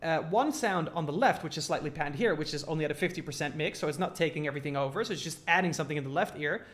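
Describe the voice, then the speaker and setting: A man's voice: a little high, rapid-fire, fairly bright tone. A man giving a casual tutorial as a music production instructor, informally thinking aloud as he demonstrates.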